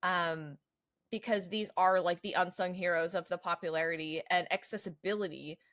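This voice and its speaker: A woman's voice: on the low side, fairly even intonation, moderate pace, fairly bright tone, dry recording. American woman podcaster, close dry sound. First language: English. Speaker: podcast co-host. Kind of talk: casual podcast discussion.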